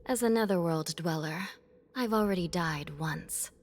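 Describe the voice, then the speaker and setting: An actress playing a composed, dutiful netherworld judge in a video game, speaking in a measured, formal, resolute manner. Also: female voice, fairly low pitch. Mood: solemn, resolute. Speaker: character voice actor. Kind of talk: video game story dialogue. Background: background music.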